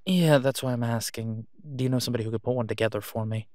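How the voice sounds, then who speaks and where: A man plays a reluctant werewolf alpha in an audio roleplay. His delivery is casual and understated, with an awkward hesitance. The voice sits at a medium pitch in a dry, close recording. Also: fast talker, a little mellow, dry recording, natural voice. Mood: businesslike. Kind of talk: naturalistic audio roleplay acting.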